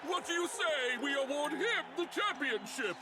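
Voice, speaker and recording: A man's voice: very high voice, big pitch swings, moderate pace, heavy background noise. A man playing a pompous, sentimental overlord in a video game, with flowery, melodramatic delivery. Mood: melodramatic sorrow. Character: theatrical, pompous, sentimental male tournament overlord. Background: loud game music.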